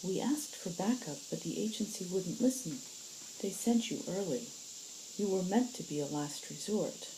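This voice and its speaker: A woman's voice: fairly low pitch, moderate pace, background noise, pitched above the performer's own voice, lightly acted. A woman voicing an earnest, pleading young woman who stands up for her family in a story.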